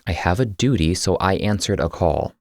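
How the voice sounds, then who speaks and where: A man's voice: low-pitched, lively intonation, moderate pace, creaky, darkish tone, no echo. A duty-bound man who guards the keys, played by a male audiobook reader. He is firm but civil, defensive and reasoning, holding himself in check. The recording is dry and close. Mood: guarded. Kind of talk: audiobook character dialogue.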